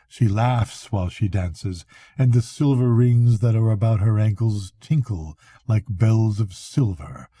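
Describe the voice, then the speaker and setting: A man's voice: mid-range voice, warm tone, husky, no room sound. An older man voicing a sly, evil, tempting soul in a storybook reading, with coaxing, archaic speech.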